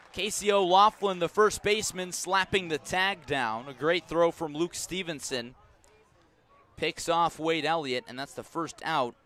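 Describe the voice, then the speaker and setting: An American man doing live radio play-by-play of a baseball game in a measured, descriptive style. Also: high voice. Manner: measured, descriptive calls that rise to excitement on big plays. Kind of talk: live radio sports commentary.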